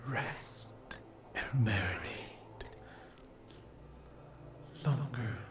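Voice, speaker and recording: A man voicing a menacing, hungry sleep paralysis demon in a breathy whisper, drawn out with long pauses, as ASMR roleplay. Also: mid-range voice, echoey room, noisy background. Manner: very slow, drawn-out, incantation-like delivery with long pauses.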